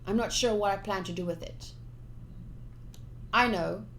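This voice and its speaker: A woman's voice: mid-range voice, unhurried, a bit bright, a little hiss or hum. A woman streamer reading visual novel text aloud, with casual commentary of her own. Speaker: game streamer. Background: game music.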